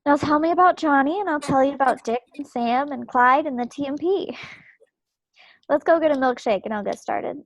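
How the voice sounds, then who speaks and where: A woman's voice: high voice, normal speed, no room sound. A woman playing a stubborn, spirited young 1940s war wife with folksy directness, in a play reading over a video call. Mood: stressed, resolute. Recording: muffled video-call audio.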